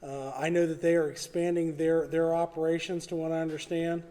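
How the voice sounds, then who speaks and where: A man's voice: fairly high pitch, little pitch movement, brisk, warm tone. A man serving as emcee, casually introducing each speaker and hesitating with fillers. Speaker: event emcee. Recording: reverberant hall, faint noise.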